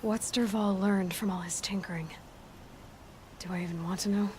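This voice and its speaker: A woman voicing a determined, compassionate young huntress in a video game, musing in a measured way. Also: mid-range voice, fairly even intonation, noisy background, natural voice. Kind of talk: video game cutscene acting. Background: background music.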